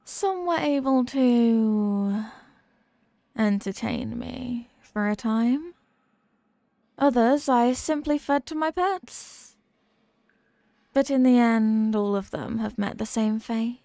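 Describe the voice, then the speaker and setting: A woman's voice: on the high side, mellow, dry recording. A woman voicing a condescending, sadistic and possessive demon queen who mocks the human listener in a scripted ASMR roleplay. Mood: cold, disdainful. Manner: condescending, mocking, deliberate and teasing.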